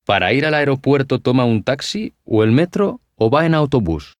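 A man's voice: mid-range voice, very animated, normal speed, dry recording, studio quality, lightly acted. An actor playing a curious, friendly young man in language-course dialogues, chatty and full of questions. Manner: chatty, inquisitive. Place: dry studio.

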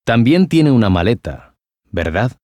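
A man's voice: medium pitch, sing-song, unhurried, darkish tone, dry recording. An actor playing a curious, friendly young man in language-course dialogues, chatty and full of questions. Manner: chatty, inquisitive. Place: dry studio.

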